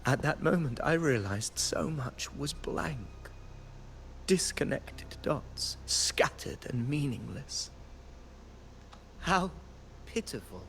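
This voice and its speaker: An actor playing a proud, disillusioned fallen hero in a video game, speaking in a dramatic, brooding monologue. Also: male voice, on the high side, expressive, slow, creaky, long pauses, a bit bright, a little hiss or hum, full character voice. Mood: bitter despair.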